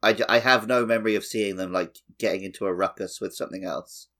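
A man's voice: lowish voice, steady tone, quick pace, fairly bright tone. A man co-hosting a podcast, chatting casually and conversationally about his memories of playing a game. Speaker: podcast co-host. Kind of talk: casual chat about gaming.

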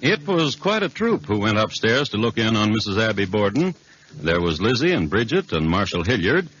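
A man playing a wry true-crime chronicler, narrating a 1950s radio drama with dry, deliberate humour and a husky voice. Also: fairly low pitch, a bit bright, heavily acted. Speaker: narrator and host. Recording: dull lo-fi 1950s radio recording.

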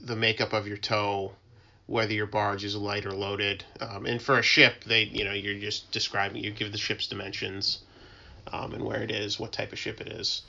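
A man explaining things as an interview guest in an informal, halting, conversational way. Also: on the low side, flat delivery, vocal fry, pushed and bright, dull audio. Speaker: interview guest, working tugboat mariner.

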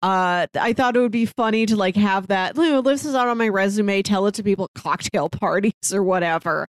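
A woman's voice: medium pitch, fairly quick, dry recording, clean studio sound. A woman chatting casually about a film as a podcast co-host, often laughing lightly through her words.

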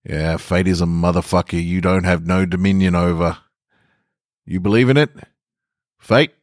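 A man's voice: low voice, fairly even intonation, normal speed, no room sound, studio quality, natural voice. A man voicing a blunt, folksy, philosophizing bar regular in a short story reading. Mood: emphatic, fatalistic. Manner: folksy, emphatic, swearing barfly monologue.

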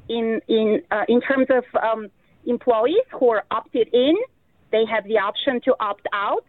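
A woman from Bulgaria explains policy over a tinny phone line, her voice sitting somewhat high. She speaks in a measured, explanatory way and pauses on ums and restarts. The sound is dry and close. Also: no echo. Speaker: retirement policy expert guest. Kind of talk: radio interview answers.

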